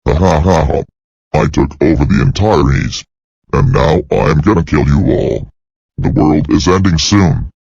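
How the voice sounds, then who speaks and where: A man's voice: a bass voice, a little slow, vocal fry, a little mellow, husky, dry recording, dull audio, exaggerated voice. A man voicing a gloating male villain monster in an amateur emergency broadcast video.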